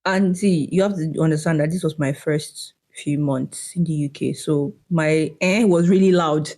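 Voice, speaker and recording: A woman's voice: sits low, normal speed, a little mellow. A young Nigerian woman co-hosting a podcast, chatting in a reflective, wry way with a Nigerian accent and bits of slang. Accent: Nigerian English. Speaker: podcast co-host. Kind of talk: casual chat.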